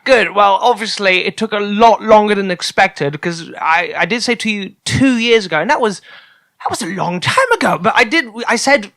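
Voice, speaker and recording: A man acting a flustered, bright-voiced translator. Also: high-pitched, expressive, moderate pace, vocal fry, no echo, studio quality, full character voice. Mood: earnest, explaining. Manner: hesitant, stammering, polite and rambling. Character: flustered, apologetic man, a translator of alien languages. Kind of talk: improvised comedy sketch.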